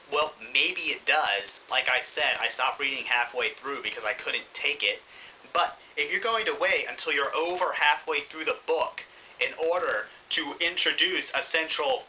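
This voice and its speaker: A man giving an opinionated, informal book review in an exasperated, sarcastic, animated manner. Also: mid-range voice, slight background noise, poor audio.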